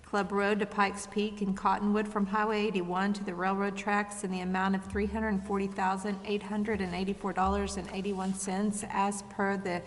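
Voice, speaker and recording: A woman's voice: mid-range voice, little pitch movement, normal speed, few pauses. A woman council member speaking formally, as if reading motions into the record. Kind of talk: formal motion read aloud. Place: indoor council chamber. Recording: reverberant council chamber.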